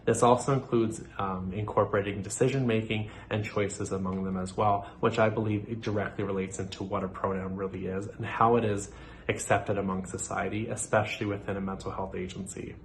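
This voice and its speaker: A young man from Nova Scotia, a social work student giving a prepared presentation in an earnest, measured way with occasional fillers. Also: fairly low pitch, level tone, slight background noise. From Nova Scotia, Canada.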